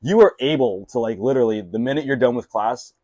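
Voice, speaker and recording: A man's voice: medium pitch, quick pace, a crowd in the background. A young man whose first language was Mandarin, hosting a casual interview chat and rambling with lots of fillers and restarts. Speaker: podcast host.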